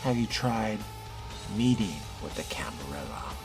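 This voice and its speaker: A man role-playing a curious, laid-back fledgling vampire in a video game, low-key and inquisitive. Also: sing-song, a little slow, vocal fry, loud music behind the voice, heavily acted. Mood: dry, curious.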